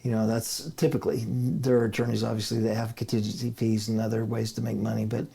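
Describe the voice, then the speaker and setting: A man's voice: fairly low pitch, little pitch movement, fast, gravelly, mellow, slightly rough. A middle-aged man from Idaho, a guest in a casual interview, telling rambling stories and chuckling often. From Idaho, United States. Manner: rambling storyteller, chuckles often, restarts sentences.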